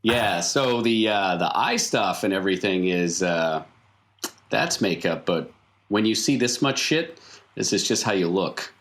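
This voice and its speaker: A man's voice: sits low, lively intonation, a little slow, fairly bright tone. A man playing a matter-of-fact circus clown in a tabletop game, explaining things casually.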